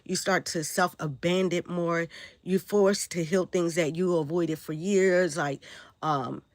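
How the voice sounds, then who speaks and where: A woman from Brooklyn with a New York accent, hoarse and raspy from a sore throat, talking loosely on a live stream and often asking "right?". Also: lowish voice, steady tone, unhurried, no echo, clean studio sound. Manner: rambling, loose, frequent "right?" and "like". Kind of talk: casual live-stream talk answering chat.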